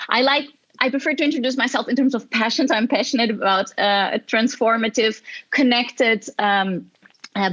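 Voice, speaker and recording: A woman's voice: medium pitch, a bit bright. Hesitant woman from Portugal on lo-fi audio. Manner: hesitant, many fillers. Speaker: marketing manager, panel guest. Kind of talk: panel introduction.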